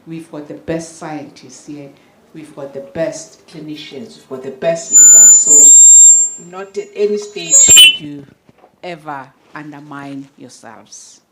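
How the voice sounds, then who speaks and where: Low-voiced South African woman, noisy recording. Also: slow. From Eastern Cape, South Africa. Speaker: presenter giving thanks and honours. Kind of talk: unscripted ceremony speech. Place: live event venue. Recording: clearly noisy live event recording.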